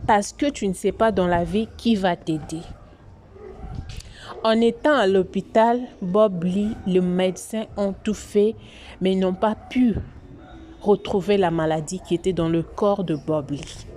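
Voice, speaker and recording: A woman delivers a moralizing vlog commentary. She circles back and repeats her points as she lectures. Her voice sits in a middle register, and clear background noise runs under the recording. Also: medium pitch, a little slow, mellow. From Congo. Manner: preachy and moralizing, repeats herself. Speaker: YouTube channel host.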